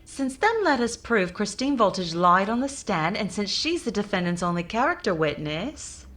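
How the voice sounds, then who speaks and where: A brash woman in a radio comedy, with a bright, piercing voice and lively, swooping delivery. She is cheeky, shrewd and full of slangy familiarity. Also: mid-range voice, moderate pace. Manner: cheeky, colloquial, addresses people as ducky. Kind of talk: old-time radio comedy acting.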